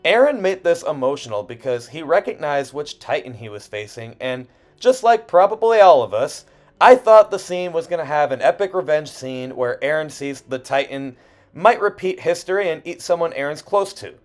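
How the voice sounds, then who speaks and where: A man's voice: on the high side, lively intonation, normal speed. A man reading a scripted video essay in an earnest, personal way with emphatic phrasing. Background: background music.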